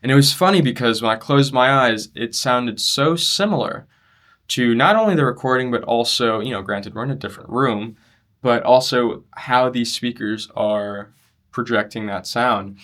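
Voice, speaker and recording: A young man in a conversational interview, explaining his loudspeaker design work earnestly and in detail. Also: medium pitch, normal speed. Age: young adult. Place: demo room at an audio show.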